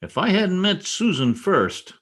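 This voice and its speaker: Animated, blustering newspaperman, played by a man. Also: high voice, unhurried, husky, heavily acted. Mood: smitten, pleased. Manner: animated, blustering, hesitant when flattered. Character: gruff, vain newspaper columnist, easily flattered. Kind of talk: old-time radio comedy acting. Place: home, online video-call production.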